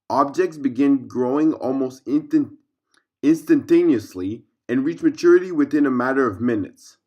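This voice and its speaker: A man reading a scripted fictional case file aloud as narrator, in a formal, measured way. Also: mid-range voice.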